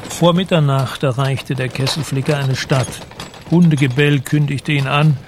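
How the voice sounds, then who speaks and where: A man narrating a literary radio drama in a measured, sombre storytelling manner. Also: fairly high pitch, gravelly, slight background noise.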